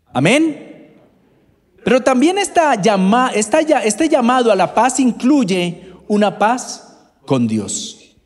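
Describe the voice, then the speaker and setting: A high-voiced man with a Bogotá Colombian accent preaches slowly, with his pitch swinging widely. He speaks to members of the congregation by name. His voice carries a long reverb from a church hall. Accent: Bogotá Colombian Spanish. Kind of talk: sermon.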